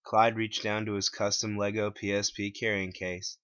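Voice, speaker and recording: A man's voice: fairly low pitch, flat delivery, normal speed, fairly bright tone, no echo. A man reading his own first-person story aloud as a dramatic narration. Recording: dull lo-fi dry home recording.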